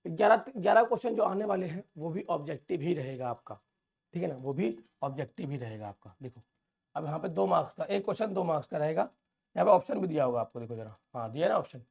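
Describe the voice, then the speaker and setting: A man with a sore, hoarse throat, walking students through an exam paper and calling them children. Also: a little high, expressive, fast, lo-fi sound. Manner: addresses viewers as children, reassuring. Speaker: teacher. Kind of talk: exam overview lesson.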